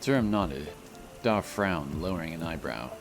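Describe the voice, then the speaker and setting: A man's voice: deep voice, animated, moderate pace. A man narrating a scripted fantasy story as its storyteller. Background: music.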